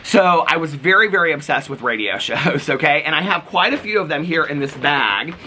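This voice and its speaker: A man talking casually to viewers as a vlogger, in an animated, rambling, gushing way. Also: a little high, normal speed, husky. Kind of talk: casual, chatty vlog talk to viewers.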